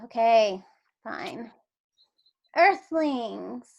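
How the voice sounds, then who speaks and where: Alien underling played by a woman: high-pitched, unhurried, dry and reluctant, sardonic drama read. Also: animated, gravelly, darkish tone, lo-fi sound, exaggerated voice. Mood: grudging. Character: grudging, sardonic alien sidekick who dislikes humans. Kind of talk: script read-through.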